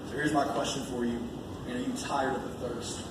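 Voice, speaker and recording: A man's voice: medium pitch, level tone, fairly quick. An American man preaching an informal sermon in a colloquial, emphatic way, repeating phrases to drive them home. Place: large echoey room at a live church gathering. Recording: echoey room from far off, noisy.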